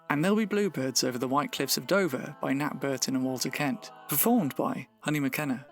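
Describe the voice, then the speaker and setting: A man reading scripted closing credits as an announcer. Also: mid-range voice. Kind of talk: scripted narration. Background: music.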